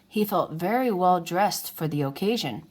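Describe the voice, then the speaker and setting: A woman reading a children's storybook aloud in an unhurried way, as if to young listeners. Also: fairly low pitch, lively intonation. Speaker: children's book reader. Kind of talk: read-aloud storybook narration.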